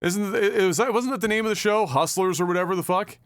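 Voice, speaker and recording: A man's voice: high-pitched, fast. A man co-hosting a podcast in casual banter, with slangy talk and some swearing. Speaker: podcast co-host.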